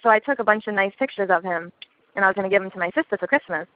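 A young woman telling a story in casual chat on a phone call, chatty and quick to swear. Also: medium pitch, dry recording. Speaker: caller. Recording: dry tinny phone line.

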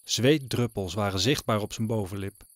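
A man narrating an audio drama as a scripted story, deliberately, with suspenseful pauses. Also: fairly low pitch, no echo. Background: music.

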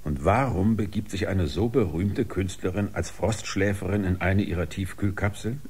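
A man's voice: low voice, normal speed, a little mellow, slightly rough. An actor playing a sceptical, sardonic man who probes with questions in a German audio drama. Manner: sardonic, probing.

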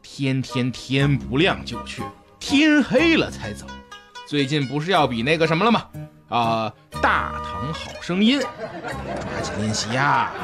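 An actor playing a whiny, boastful second-in-command shopkeeper in a comic radio play, with melodramatic, drawn-out wailing. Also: male voice, medium pitch, wildly swooping pitch, a crowd in the background. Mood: flustered, showy. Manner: melodramatic, sing-song wailing with drawn-out words. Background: music behind the voice.

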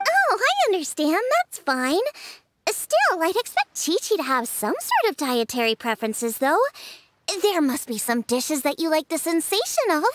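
A woman voicing a tiny, bubbly, childlike fairy companion in a game, with a squeaky voice. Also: big pitch swings, moderate pace, fairly bright tone. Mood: understanding, curious. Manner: bubbly, third-person chatter.

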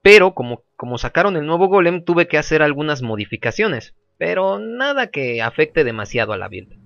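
Man commentating, throat-clearing. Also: fairly high pitch, normal speed, no echo, highs cut off. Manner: relaxed, repeats phrases, chatty. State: sore throat, clearing it often. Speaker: gameplay commentator. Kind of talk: casual gameplay commentary.

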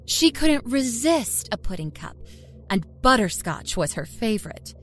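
A woman narrates dark fiction in the first person. Her delivery is expressive and dramatic, with lively pitch movement over a soft musical score. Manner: expressive, storytelling.

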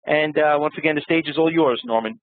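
A man hosting an online event, introducing the next speaker in a halting way with many fillers. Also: fairly high pitch, monotone, no room sound. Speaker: event host. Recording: tinny phone-like online audio.

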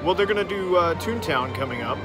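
A man's voice: high voice, lively intonation, brisk, over loud music. Laid-back man co-hosting a vlog. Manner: brief agreeing interjections. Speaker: co-host. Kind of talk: casual chat. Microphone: phone camera held at arm's length.

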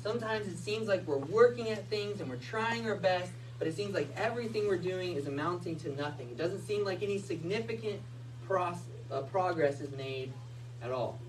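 Male pastor, 30s, lively storyteller, recorded from the back of a small hall. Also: sits high, moderate pace. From United States. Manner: animated storytelling with hand gestures. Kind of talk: sermon. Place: small church hall with Christmas tree. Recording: distant mic, faint room noise.

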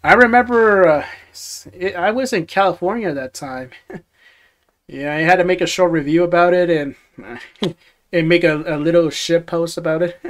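A man's voice: a little high, moderate pace, fairly bright tone. A young man hosting a casual livestream from a small bedroom, answering viewer comments with lots of restarts and chuckles into a headset mic. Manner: rambling, many restarts and chuckles. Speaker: livestream host. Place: small dim bedroom studio. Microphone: gaming headset.

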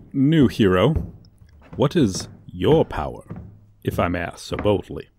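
A man playing a theatrical, sardonic supervillain posing as a tour guide in a comedic audio roleplay, with showy drawn-out emphasis and dry asides. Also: fairly low pitch, warm tone, a little hiss or hum, heavily acted. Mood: wry, mock-polite. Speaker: voice actor. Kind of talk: comedic ASMR roleplay monologue.

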